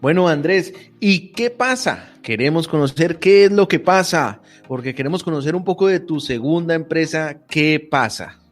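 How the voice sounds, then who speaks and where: A man hosting an interview, asking long, measured questions. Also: a little high, expressive, soft background music. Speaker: interview host.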